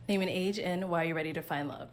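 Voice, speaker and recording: A woman with a fairly low voice hosts a dating show. She prompts contestants with short, orderly questions and moves the program along. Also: fast, a little hiss or hum.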